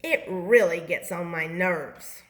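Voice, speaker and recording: A woman's voice: fairly low pitch, slow. A short-tempered male bean from a children's storybook, acted out by a woman reader. He is bossy and snappish, scolding everyone around him in exclamations. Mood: irritated snapping.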